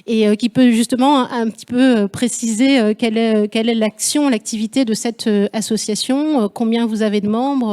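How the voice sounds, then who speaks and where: A woman's voice: a little high, dark tone. A woman moderating a panel, introducing speakers politely with many fillers.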